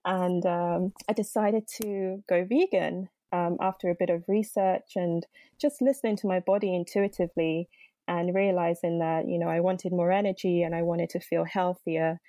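A woman's voice: on the low side, fairly even intonation, moderate pace, dark tone, dry recording, studio quality. A young woman from Nigeria, a podcast guest, telling her story in a chatty way with many fillers. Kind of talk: interview conversation.

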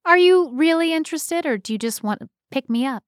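A woman's voice: high-pitched, animated, fairly quick, dry recording, studio quality, pitched above the performer's own voice. A woman reading the part of a blunt, skeptical, sardonic woman in an amateur stage play reading. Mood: guarded. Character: Betty, skeptical, blunt woman rebuffing a stranger's pickup.

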